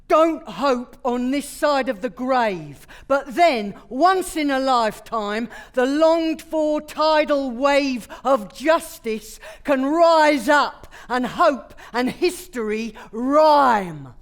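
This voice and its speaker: Very high-voiced woman declaiming verse very slowly. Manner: declamatory, projected. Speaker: actor reading poetry on stage. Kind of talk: dramatic poetry recital. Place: theatre stage.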